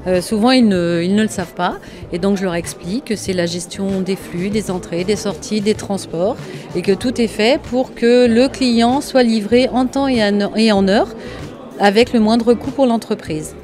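A woman talks haltingly about her job at an indoor fair stand. A handheld recorder picks her up, with loud music behind. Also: mid-range voice. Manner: hesitant, many fillers. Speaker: logistics manager. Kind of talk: interview at a trade fair stand. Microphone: handheld recorder held by interviewer.

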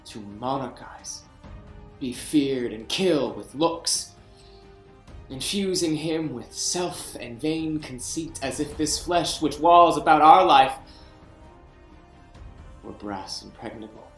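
An actor playing a proud young king in grief, reading Shakespeare verse from home over a video call, moving from hushed brooding to anguished outbursts. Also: a little high, expressive, takes it slowly, pauses often. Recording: roomy echo, faint music.

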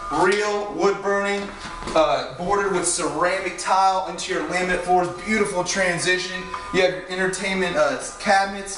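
Man enthusiastic young salesman touring a house. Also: a little high. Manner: enthusiastic, pushy salesman patter. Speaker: home salesman giving a walkthrough tour. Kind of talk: upbeat sales pitch. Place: inside an empty show home. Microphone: handheld camera from a few steps away. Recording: echoey rooms, mic at a distance, music behind.

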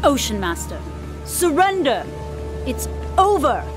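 A woman's voice: high-pitched, big pitch swings, music in the background, exaggerated voice. A woman playing a proud, dignified undersea warrior queen in a video game, speaking formally and regally. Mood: indignant. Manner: formal, regal, measured diction.

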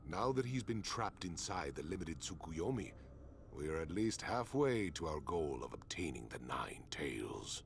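A man voicing a sinister, patient masked villain in an anime dub, speaking deliberately with a gravelly menace. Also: extremely low pitch, big pitch swings, heavily acted. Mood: cold, menacing. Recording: noisy ambient film soundtrack.

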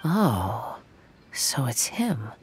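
A man playing a calm, gentle, soft-spoken young wandering swordsman in a video game. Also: medium pitch, very slow, poor audio. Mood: musing. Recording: game audio with faint background noise.

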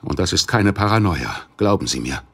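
A middle-aged man of standing, speaks in a deep voice. He is formal and measured, a worried, serious father in an audio drama. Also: animated, breathy edge, full character voice. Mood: solemn, ominous. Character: grave, worried Chinese businessman, father of missing daughter. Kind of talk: German radio play dialogue.

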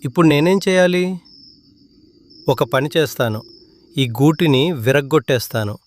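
A man's voice: fairly high pitch, lively intonation, normal speed, natural voice. A man voicing a threatening, grumbling eagle that hunts small birds in a children's cartoon. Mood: menacing, frustrated. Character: menacing male eagle hunting small birds.

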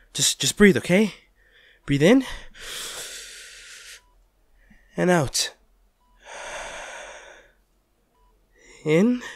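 A man playing an anguished, tender lover comforting an injured partner in a hospital audio roleplay, speaking haltingly and with emotion. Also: on the high side, no room sound, clean studio sound, full character voice. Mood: urgent, calming. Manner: halting, stammering, emotional delivery. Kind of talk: audio roleplay drama, one-sided dialogue.

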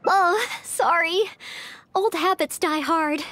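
Earnest, very high-voiced young maid knight. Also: female voice, takes it slowly, fairly bright tone, a little hiss or hum, full character voice. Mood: apologetic. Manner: polite, hesitant, apologetic. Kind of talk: anime-style video game dialogue.

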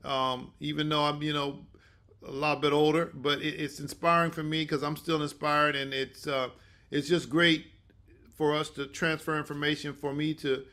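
An older man hosting an online session informally, speaking haltingly with many restarts. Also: on the high side, level tone, bright, forceful tone. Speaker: host and mentor. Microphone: video call.

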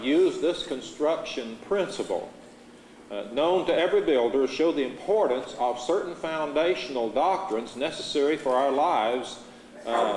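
A middle-aged American man preaches from the pulpit in a measured, earnest voice of middle pitch. His voice carries a long reverb from the church sanctuary, recorded on a lapel mic with a faint hum behind it. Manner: measured, earnest preaching with steady Scripture reading. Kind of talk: sermon. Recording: echoey church hall, faint room noise.

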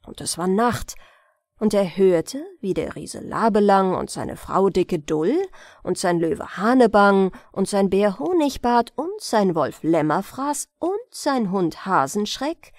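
A woman narrating a bedtime fairy tale as an audiobook storyteller, expressive and unhurried, close to the microphone. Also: medium pitch, no echo.

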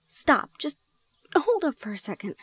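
A woman playing a shy, easily flustered young gorgon student in an audio roleplay, speaking in halting, exclamatory questions. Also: high-pitched, big pitch swings, a bit bright, dry recording, full character voice. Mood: flustered, incredulous. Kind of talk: audio roleplay drama acting.

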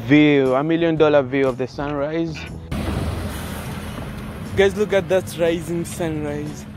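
Young man vlogging on a bike. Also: on the high side. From Kenya. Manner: chatty, keeps calling out to viewers as "guys"; talking while riding a bicycle. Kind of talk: casual vlog to camera. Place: outdoors on an open roadside. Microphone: phone held at arm's length.

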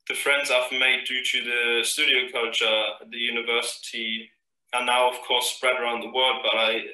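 A man's voice: mid-range voice, level tone, normal speed, sharp tone, echoey room, low-quality recording. A male architecture graduate from Germany giving a reflective testimonial. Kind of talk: testimonial for a promo film.

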